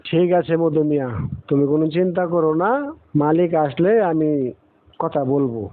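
A man's voice: a little high, normal speed, no echo, close to an everyday voice. Man as kindly senior servant, phone-like audio. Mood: reassuring. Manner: soothing, supportive. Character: kindly, reassuring long-serving male servant. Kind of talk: cartoon dialogue.

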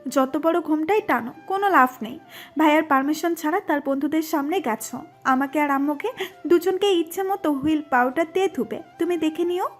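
A woman's voice: sits high, brisk, soft background music, close to an everyday voice. A woman playing a teasing, scolding and whiny college-age younger cousin in an audio story. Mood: warning, scolding. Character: sleepy, chiding teenage younger girl cousin, a college student.

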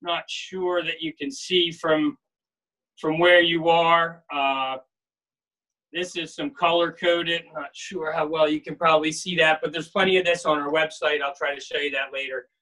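A man in his forties guiding a live walking tour of a research boat and dock, talking casually with many pauses and fillers, filmed on a handheld phone. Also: on the high side, fairly even intonation, sharp tone, no echo, rough recording. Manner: casual, hesitant with frequent uh fillers. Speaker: marine field station staff guide. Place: aboard a workboat and on a dock outdoors. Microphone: phone camera held at arm's length.

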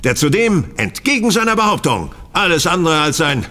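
An actor in a German audio drama playing a shrewd, commanding master detective with an incisive, formal, stern delivery. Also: male voice, on the high side, sing-song, normal speed, pushed and bright. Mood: wry, confident.

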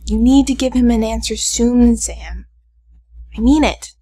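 An audio drama schoolboy acted by a woman, nerdy and earnest. The voice sits a little high and sounds young and eager. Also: unhurried, dark tone. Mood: serious. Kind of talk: fan audio drama acting.

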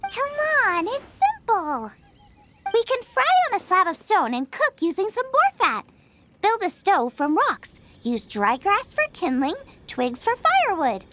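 Cheerful excitable girl chef for a game, super high voice, really animated. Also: a little slow, background noise, full character voice. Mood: cheerful, confident. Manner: excitable, breezy, eager. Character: cheerful, food-obsessed young girl chef on a hunt. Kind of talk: scripted game dialogue.

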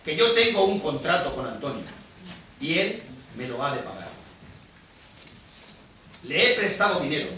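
A man's voice: sits high, sharp tone, full character voice. A male stage actor playing a bitter, vengeful Venetian moneylender in a declamatory theatrical monologue, aggrieved and defiant. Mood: stern, demanding. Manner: declamatory, rhetorical questioning. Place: theatre stage, recorded from a distance. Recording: echoey theatre, distant mic, noisy.

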